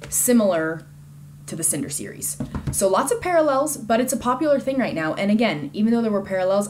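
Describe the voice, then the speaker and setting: A woman's voice: lowish voice, slight background noise. A woman giving a casual, conversational book review in an informal and enthusiastic way.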